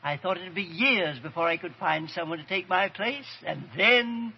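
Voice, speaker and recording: An actor playing the smug ghost of a murderer with mannered, mocking politeness in old-time radio horror. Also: male voice, high-pitched, normal speed, creaky. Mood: ominous, triumphant. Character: smug, gloating male ghost of a wife-killer. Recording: dull, noisy vintage radio recording.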